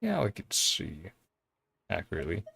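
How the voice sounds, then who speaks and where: Low, gravelly-voiced streamer guy. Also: huge pitch swings, moderate pace, bright, forceful tone. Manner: reads game dialogue aloud in his own voice, chuckling often. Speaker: game streamer. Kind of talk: casual live-stream commentary. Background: faint game music.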